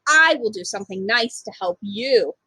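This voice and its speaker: A woman's voice: medium pitch, lively intonation, normal speed, pushed and bright, breathy edge, dry recording, voice pushed down. A woman voicing a tiny, timid, pleading mouse in a storybook.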